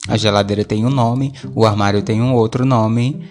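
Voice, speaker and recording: A man's voice: lowish voice, fairly even intonation, normal speed, a little mellow. A Brazilian man chatting casually and alone as a podcast host, rambling conversationally and correcting himself as he goes. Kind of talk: casual solo chat, audio diary. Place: at home, alone.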